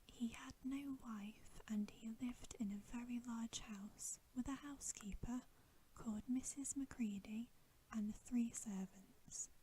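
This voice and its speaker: A woman reading a bedtime story in ASMR style, in a hushed, gentle, unhurried whisper. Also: a little high, a little hiss or hum, poor audio. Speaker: ASMR reader.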